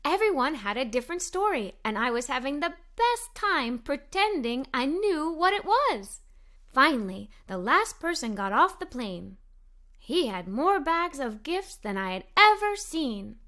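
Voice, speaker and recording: Woman, children's storyteller, bright voice, very lively intonation, expressive cheerful young-girl narration. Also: high-pitched, unhurried. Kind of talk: scripted read-aloud storytelling for kids.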